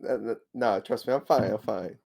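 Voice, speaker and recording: A man improvising casually in a tabletop roleplaying game, speaking haltingly and repeating words. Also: lowish voice, creaky, darkish tone, slightly rough.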